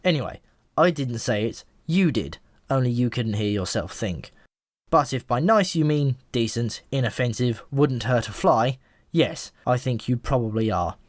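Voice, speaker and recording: A man's voice: medium pitch, animated, normal speed, no echo, clean studio sound, lightly acted. A man voicing a chatty, world-weary pigeon in an audiobook, talking in an offhand, frank and matter-of-fact way. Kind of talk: audiobook character voice.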